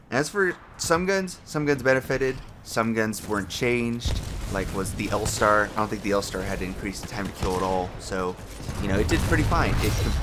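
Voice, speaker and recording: A young man just out of bed giving casual, rambling commentary as a show host, swearing, restarting sentences and trailing into fillers. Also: lowish voice. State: voice sounds a little off from just waking up. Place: home room with a fan.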